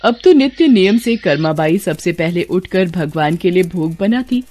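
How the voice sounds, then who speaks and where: A woman's voice: on the low side, lively intonation, normal speed, warm tone. A woman narrator reading a scripted devotional story.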